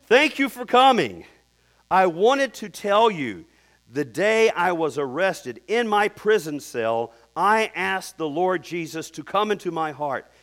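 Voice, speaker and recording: A man putting on the voice of a joyful condemned prisoner, speaking excitedly in a raised voice. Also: high-pitched, slow, full character voice. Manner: excited, exclamatory.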